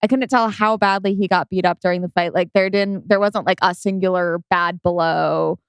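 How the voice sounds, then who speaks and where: A woman's voice: on the low side, fairly even intonation, moderate pace, smooth, dry recording. A woman guest on a podcast, chatting casually in a hesitant, self-effacing way. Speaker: podcast guest, cast member. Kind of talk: casual chat. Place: indoors on a couch.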